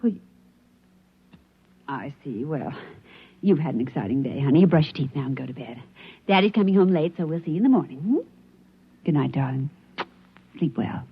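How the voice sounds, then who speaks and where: A woman playing a gentle, indulgent, mildly flustered mother in a 1950s radio drama, polite and soothing. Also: sits low, expressive, fairly quick, long pauses, husky, heavily acted. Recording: muffled vintage radio broadcast, faint noise.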